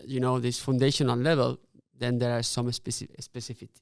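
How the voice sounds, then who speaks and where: A man giving casual interview answers, animated and halting with frequent restarts. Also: medium pitch, gravelly, no echo, clean studio sound. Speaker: guest, insurance executive.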